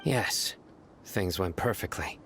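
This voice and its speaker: An actor playing a stern, composed young law enforcer in a video game, serious and measured. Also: male voice, low-pitched, lively intonation, gravelly, loud music behind the voice, heavily acted. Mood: calm, serious. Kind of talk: video game cutscene acting.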